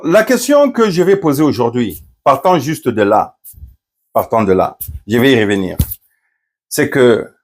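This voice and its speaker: A middle-aged man from Congo, a pastor, recounting a personal story and commenting, often pausing with fillers and restarting his phrases. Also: mid-range voice, a little mellow. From Democratic Republic of the Congo. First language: Swahili. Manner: hesitant, many fillers and restarts.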